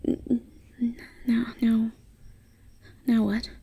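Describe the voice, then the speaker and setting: A woman voicing a shy, nervous, kind-hearted young academy student in an amateur fantasy audio drama, with a soft voice and a heavy stammer. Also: fairly high pitch, little pitch movement, very slow, gravelly, breathy edge, dry recording, exaggerated voice. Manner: timid, heavily stammering.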